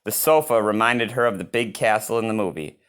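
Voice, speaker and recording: A man reading a picture book aloud as host, expressive and given to chatty reactions to the story. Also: lowish voice, a little fast, smooth. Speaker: read-aloud reader and channel host.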